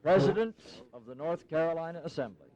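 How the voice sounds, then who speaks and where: An actor playing an eager colonial politician in a historical audio drama, speaking formally, emphatically and persuasively. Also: male voice, fairly high pitch, expressive, brisk, mellow, highs cut off, lightly acted. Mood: eager, insistent. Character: eager, insistent colonial congressman pressing for independence.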